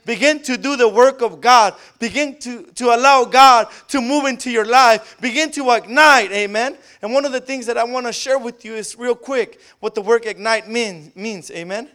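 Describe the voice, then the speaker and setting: A man with a Spanish accent preaching a sermon, tacking an amen onto nearly every phrase and rising into loud fervent bursts. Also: very high voice, moderate pace, few pauses, clear, resonant tone. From Southern California. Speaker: pastor.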